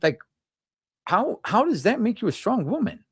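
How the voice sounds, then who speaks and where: A man's voice: high-pitched, sing-song, normal speed, husky, no room sound. A man giving emphatic, opinionated views in a casual panel chat, often repeating words as he goes.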